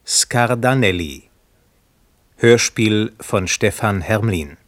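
A male announcer reads scripted radio credits formally. His voice is fairly low, with slow, deliberate delivery and a close, dry sound. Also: lively intonation, fairly bright tone, no room sound.

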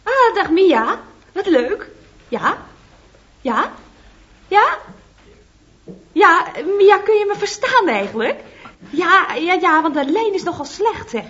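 Cheerful, lively secretary; very animated voice, muffled old radio sound. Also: female voice, extremely high pitch, takes it slowly, pauses often, exaggerated voice. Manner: chatty, lively phone voice. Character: chatty, cheerful female office secretary. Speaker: office secretary answering the phone. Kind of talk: radio comedy sketch.

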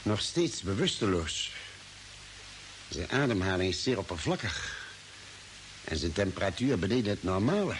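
An actor playing a calm, reasoning spaceship doctor in a science-fiction radio drama, speaking deliberately and probingly. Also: male voice, low-pitched. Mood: grave. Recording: hissy old radio drama recording.

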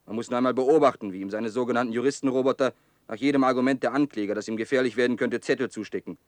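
A man acting a contemptuous press commentator in a radio play. He rattles along quickly with agitated scorn. The recording is very dry. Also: medium pitch, no echo, lightly acted. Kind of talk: radio drama mock commentary.

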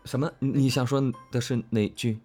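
A man co-hosting a casual livestream in a halting, conversational way, repeating phrases as he goes. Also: medium pitch, fairly even intonation, darkish tone, soft background music. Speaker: voice actor co-hosting a livestream about an audio drama. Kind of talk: casual live chat.

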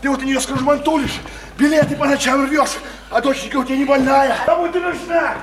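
In a roomy, faintly noisy recording, a coarse working-class bully with a very high-pitched voice. He jeers in slang and hammers at the same phrases, a domineering married lover in a radio drama. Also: steady tone, fast talker. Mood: threatening, angry. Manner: coarse slangy speech, jeering, repeats phrases insistently. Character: crude, bullying married working-class man, wheedling then threatening. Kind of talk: Russian radio play acting.

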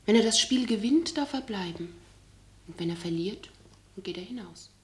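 An actress playing a knowing young barmaid in a radio drama, speaking in a hushed, breathy half-whisper. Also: female voice, medium pitch, lively intonation, normal speed, natural voice. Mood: secretive, knowing. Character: knowing young barmaid, softly explaining a game of Death. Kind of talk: German radio drama acting.

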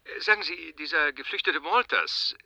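Sharp, scheming male investigator. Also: a little high, expressive, normal speed, vocal fry, slightly rough, full character voice. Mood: sly, probing. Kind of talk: radio drama acting.

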